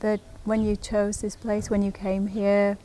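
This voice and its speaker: A woman's voice: medium pitch, monotone, measured pace, mellow. A woman presenting an interview, talking in a casual, encouraging way and often agreeing with short replies. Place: outdoors and indoors at a country hotel. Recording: faint background noise.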